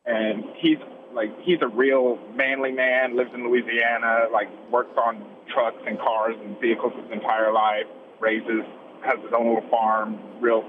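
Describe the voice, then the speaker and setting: A man's voice: mid-range voice, little pitch movement, measured pace, noisy background. Man in his thirties on a tinny phone line. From Texas. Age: 30s. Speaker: caller. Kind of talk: phoned-in chat.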